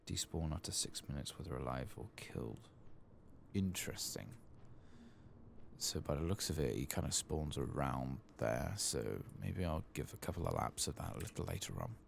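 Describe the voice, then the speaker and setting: A man giving soft-spoken ASMR game commentary, murmuring and at times drifting toward a whisper. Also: rumbling low, big pitch swings, normal speed, creaky, a little hiss or hum. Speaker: gaming video host.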